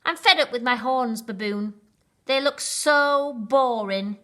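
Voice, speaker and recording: A woman's voice: fairly high pitch, slow pace, fairly bright tone, pitched above the performer's own voice. A woman voicing a gloomy, self-conscious rhino in a children's story, complaining with heavy emphasis. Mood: fed up.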